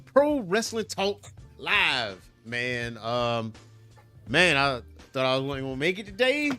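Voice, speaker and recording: Bright-voiced stuffy-nosed man co-hosting. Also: medium pitch, sing-song, slow pace, faint music behind. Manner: laid-back, rambling, laughs easily. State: stuffy nose from allergies. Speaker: podcast co-host. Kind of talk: casual chat.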